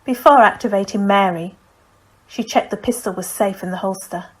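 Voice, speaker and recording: A woman narrates fiction as an audiobook reader, with a medium-pitched voice. She delivers prose from the page in a scripted storytelling style. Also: normal speed, breathy edge, rough recording.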